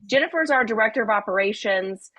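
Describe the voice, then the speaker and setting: A woman hosting a live webinar, talking in a friendly, chatty way with many fillers, slightly raspy from a cold. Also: medium pitch, animated, quick pace, fairly bright tone, dry recording, muffled recording. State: hoarse from a cold. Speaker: webinar host.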